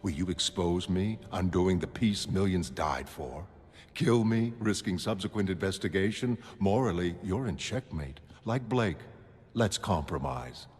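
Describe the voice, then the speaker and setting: An actor playing a calm, calculating mastermind who argues coldly in a dramatic film. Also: male voice, sits low, a little slow, husky, heavily acted. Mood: cold, reasoning. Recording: long cavernous reverb, music underneath.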